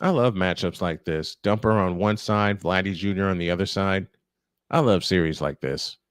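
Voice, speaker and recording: A man's voice: low voice, normal speed, dry recording, studio quality. A man calling a baseball game live as play-by-play announcer, with conversational, opinionated asides. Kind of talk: live sports commentary.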